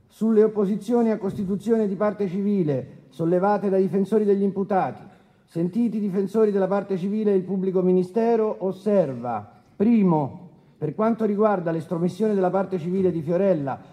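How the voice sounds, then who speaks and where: An actor playing a formal, testy, officious presiding judge in a courtroom radio drama. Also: male voice, high voice, mellow, close to an everyday voice. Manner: formal and officious, with halting hesitations.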